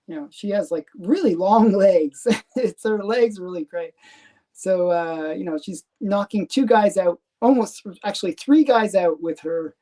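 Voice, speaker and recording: A Canadian woman with a Canadian accent hosting a live stream, talking in a rambling, halting way with lots of fillers and restarts. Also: mid-range voice, dark tone. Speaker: comic collector host. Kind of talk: casual live stream show-and-tell.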